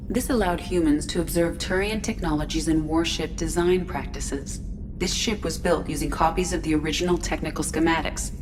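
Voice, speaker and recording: A woman's voice: low-pitched, natural voice. A woman voicing a composed, factual shipboard artificial intelligence in a video game, precise and formal in delivery. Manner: precise, formal, even-tempered. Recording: music behind the voice.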